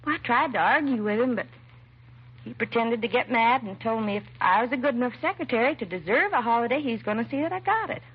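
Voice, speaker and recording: An American actress playing a timid, self-doubting young secretary in a 1950s radio drama, hesitant and apologetic. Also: female voice, on the high side, animated, fast, husky. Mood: earnest, hesitant. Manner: hesitant, nervous, apologetic, trailing and restarting. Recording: muffled vintage radio recording, faint hiss.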